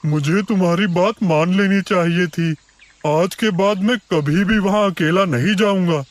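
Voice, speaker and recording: A man's voice: sits high, a little slow, dry recording. A man voicing an earnest, easily scared bear in a cartoon forest story.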